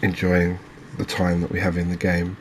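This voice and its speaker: A soft-spoken man giving relaxed, unhurried ASMR commentary on a video game, speaking close to the mic. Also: low-pitched. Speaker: gaming video creator. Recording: clear background noise, ambience.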